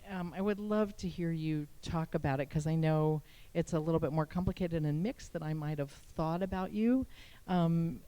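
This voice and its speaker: A man's voice: fairly high pitch, dark tone, clean tone. A man interviewing a poet, asking long thoughtful questions with hesitant fillers.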